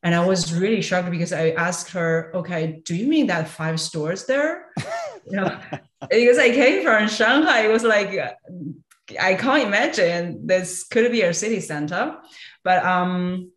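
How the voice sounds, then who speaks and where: A woman from China, in her thirties, answering podcast questions hesitantly with many pauses and light laughs. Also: on the low side, lively intonation, roomy sound. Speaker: guest entrepreneur.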